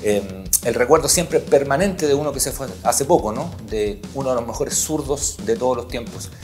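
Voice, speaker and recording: A man's voice: medium pitch, expressive, moderate pace, a little mellow, soft background music. A man hosting a sports podcast, telling stories emphatically and repeating key points. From Chile. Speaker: podcast host, sports journalist.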